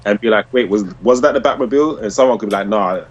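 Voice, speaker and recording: A man's voice: lowish voice, fast talker, highs cut off. A man co-hosting a podcast in casual, slangy chat with some swearing. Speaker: podcast co-host. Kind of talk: casual chat about comic book movies.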